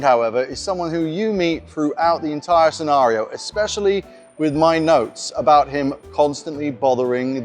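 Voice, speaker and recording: A man with a slightly high voice speaks conversationally, like a game master explaining his approach in a casual vlog. Soft guitar music plays behind his voice. His delivery is explanatory and informal. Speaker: game master giving scenario tips.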